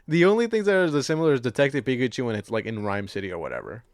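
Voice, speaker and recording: A man's voice: medium pitch, sing-song, very fast, dry recording, clean studio sound. Loose-talking man, casual and profane. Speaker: podcast co-host. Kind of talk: casual chat, film discussion.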